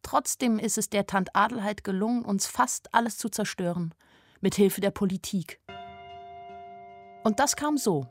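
A woman's voice: medium pitch, a little slow, faint music behind, natural voice. An actress playing a young shopgirl in love, narrating a radio play in a plain, reflective, anxious and melancholy way. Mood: wry, reflective.

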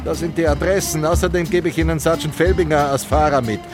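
An actor playing a commanding, persuasive US Army newspaper boss in a radio play. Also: male voice, a little high, fairly even intonation, fast talker, music in the background, close to an everyday voice. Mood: brisk, persuasive. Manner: authoritative, urging.

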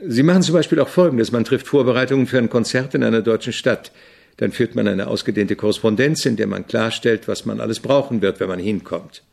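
An actor playing an opinionated, irritable avant-garde composer in a German radio drama, speaking in a didactic, deliberate way with a gravelly, husky voice. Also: male voice, medium pitch, a little mellow. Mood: grumbling, candid.

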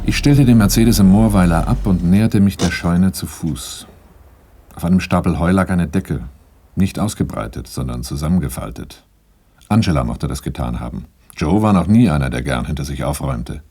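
An actor playing a wary handyman and driver, narrating his own story in a measured, matter-of-fact, introspective way for a 1980s radio play. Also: deep voice, expressive, mellow, slightly rough. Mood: brooding. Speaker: first-person narrator.

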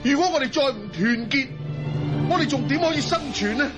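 Young Cantonese clan chief, high pitch, proud and resolute, radio play with music. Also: male voice, lively intonation, fairly quick, highs cut off. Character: determined, proud young male clan leader.

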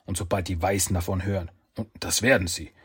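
A man's voice: low-pitched, fairly even intonation, a little fast, breathy edge. A man voicing an older male martial arts master who speaks urgently and fatherly.

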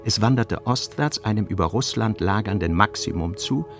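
A man reading literary prose as a radio play narrator, measured and articulate. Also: lowish voice, lively intonation, vocal fry, over music.

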